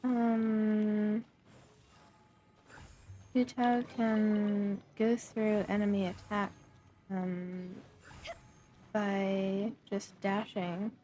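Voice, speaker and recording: Slow-talking young woman streamer. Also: medium pitch, flat delivery, warm tone. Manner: hesitant, thinking aloud, lots of fillers and trailing-off phrases. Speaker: game streamer. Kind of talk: casual gameplay commentary.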